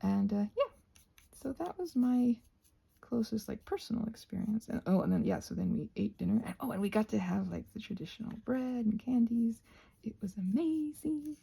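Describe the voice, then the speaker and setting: A woman chats in a very breathy, husky voice with heavy vocal fry. Her tone is mellow and soft-spoken, often dropping into a whisper. She wanders through her thoughts effusively, as in a casual ASMR ramble while painting. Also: on the high side, animated, moderate pace. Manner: effusive, wandering, thinking aloud. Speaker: host of a craft ramble ASMR channel.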